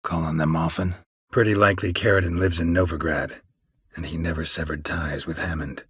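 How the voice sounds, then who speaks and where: A gruff, world-weary monster hunter for a video game. His voice is very low and heavily rasped, recorded dry and close. He speaks in terse, deadpan phrases with a stoic temper and dry understatement. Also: a little fast, no room sound, heavily acted. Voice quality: gravelly, husky rasp. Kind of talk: video game character dialogue.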